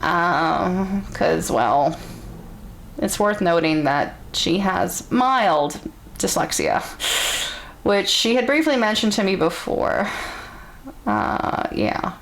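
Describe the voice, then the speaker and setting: A woman reading a story aloud in a casual, conversational way, theatrical and amused, with pauses and murmured asides. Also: fairly low pitch, sing-song.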